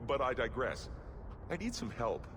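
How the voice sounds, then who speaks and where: A man as a jittery dwarf merchant, swooping pitch. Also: sing-song, moderate pace, noisy background, lightly acted. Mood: nervous, evasive. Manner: jittery, flustered, polite, evasive. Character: nervous, timid dwarf merchant turned smuggler, male. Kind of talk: video game cutscene acting.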